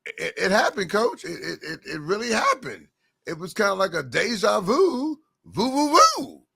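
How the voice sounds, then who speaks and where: A man co-hosting a casual high school basketball podcast, a little hoarse and rambling, repeating words as he goes. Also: big pitch swings, moderate pace, pushed and bright. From Oklahoma. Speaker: podcast co-host. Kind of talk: casual sports chat.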